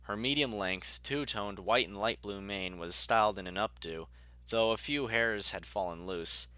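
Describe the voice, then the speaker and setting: A man's voice: lowish voice, moderate pace, pushed and bright, clean tone, no echo. A man narrating a scripted story as its narrator.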